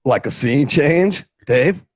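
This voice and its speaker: Husky male narrator, slacker delivery, swinging pitch, casual storytelling, dry close sound. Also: lively intonation, slow, no room sound. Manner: laid-back slacker drawl, peppered with "like" and "man". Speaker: narrator of an online radio novela. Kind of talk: improvised casual retelling of a film script.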